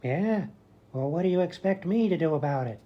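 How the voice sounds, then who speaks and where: A woman acts out a grumbling old man in a radio comedy, blunt and sorry for himself. The voice sits on the low side. The sound is a little dull and muffled. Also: expressive, brisk. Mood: grumpy, dismissive. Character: crabby, self-pitying old male hospital grump. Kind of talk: comedy radio sketch acting.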